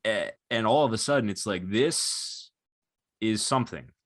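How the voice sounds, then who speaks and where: Male podcaster, twenties, fairly low voice. Conversational, analytical sports talk. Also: animated, a little slow. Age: 20s. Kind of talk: casual analytical sports chat.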